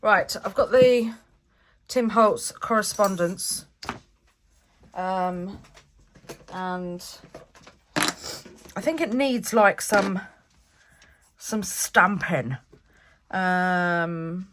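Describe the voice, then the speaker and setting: A woman's voice: medium pitch, extremely slow, pauses often, fairly bright tone, slight background noise. A woman hosting a craft vlog, chatting and thinking aloud as she works, a bit stuffy with a cold. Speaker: craft channel host. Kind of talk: casual crafting vlog talk.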